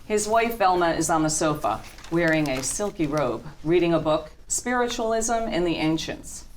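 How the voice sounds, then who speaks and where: Low-voiced woman narrator. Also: expressive, normal speed, low-quality recording. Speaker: stage-direction narrator. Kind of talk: scripted narration, reading screen directions. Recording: faint noise and room ambience.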